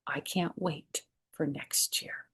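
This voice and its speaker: Female storytime reader for kids, slightly lower register, expressive delivery with informal explanatory asides. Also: slow, husky. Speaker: storytime host reading a picture book to children. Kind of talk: expressive read-aloud of a children's book with chatty asides.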